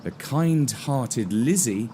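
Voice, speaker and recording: A man narrating a scripted children's story in an expressive, deliberate way. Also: medium pitch, slight background noise.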